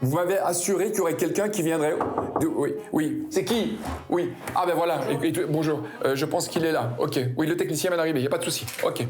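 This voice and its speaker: A man's voice: on the high side, a little fast, background noise. A man playing a festival organizer, hesitant and fussy. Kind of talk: comic sketch, scripted dialogue.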